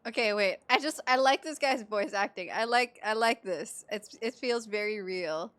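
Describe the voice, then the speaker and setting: A woman's voice: on the high side, normal speed, fairly bright tone, dry recording. A woman from the Philippines giving casual live commentary as a game streamer, chatty and reactive.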